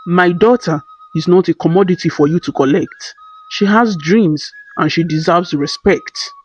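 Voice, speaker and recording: A woman's voice: on the low side, wildly swooping pitch, normal speed, darkish tone, faint music behind, pitched below the performer's own voice, poor audio. A woman voicing a stern, protective father in a folktale, speaking in a firm, indignant way. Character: stern, protective father defending his daughter. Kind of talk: storybook character voice.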